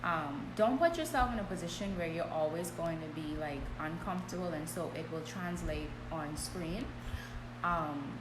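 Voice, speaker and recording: A woman's voice: deep voice, normal speed. A woman hosting a live business coaching stream, talking casually and often checking in with "okay?". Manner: chatty, frequent "um" and "okay?" check-ins. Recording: echoey room with clear background hum.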